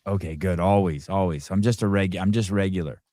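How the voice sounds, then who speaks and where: A man's voice: sits low, a little fast, dark tone, dry recording, studio quality. A middle-aged man hosting a live interview, rambling and self-deprecating with many restarts. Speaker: podcast host.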